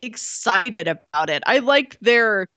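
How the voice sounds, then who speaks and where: A woman co-hosting a casual film discussion, retelling scenes in an animated way and acting out what characters say. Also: mid-range voice, takes it slowly, no echo. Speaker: film podcast co-host.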